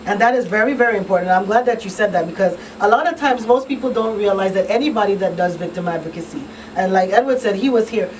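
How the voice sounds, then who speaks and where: A woman's voice: mid-range voice, fast, hardly any pauses. A woman hosting a conversational call-in radio interview. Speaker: radio talk show host. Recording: distant mic, clearly noisy background.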